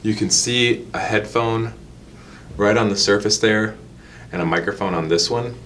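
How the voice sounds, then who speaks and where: Male tutorial presenter, low register, casual step-by-step instructional delivery, faint rumbling noise behind the voice. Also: normal speed, fairly bright tone. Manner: step-by-step explaining. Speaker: product demonstrator.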